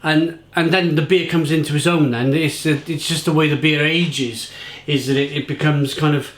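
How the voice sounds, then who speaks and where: An enthusiastic man reviewing a beer to camera, rambling and repeating himself, slightly croaky. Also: fairly high pitch, steady tone, moderate pace, reverberant. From England. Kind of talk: casual tasting review to camera.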